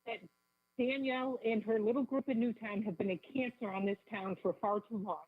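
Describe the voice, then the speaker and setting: A woman calling in to a radio show, raspy from a bad cold, speaking emphatically and indignantly. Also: fairly high pitch, fairly even intonation, brisk, no room sound. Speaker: phone-in guest. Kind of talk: live radio call-in advocacy. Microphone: telephone. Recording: tinny, narrow phone line.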